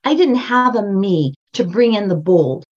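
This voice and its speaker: Older woman guest, high voice, emphatic storyteller, dull lo-fi sound. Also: animated, measured pace, a little mellow, husky. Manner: emphatic, storytelling, repeats phrases for stress. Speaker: guest, brand strategist and book coach. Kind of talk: podcast interview.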